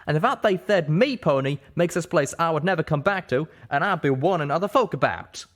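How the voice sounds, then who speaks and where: A man's voice: on the high side, animated, brisk, fairly bright tone, pitched above the performer's own voice. A man voicing a hot-headed male pegasus with folksy cowboy speech in a storybook reading. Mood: indignant, loud.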